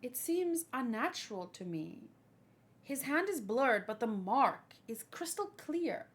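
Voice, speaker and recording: A woman with a fairly high voice hosts a manga read-along. She moves between reading lines aloud and chatting casually about them as an animated fan. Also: slow pace. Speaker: host reacting to a manga chapter read-along.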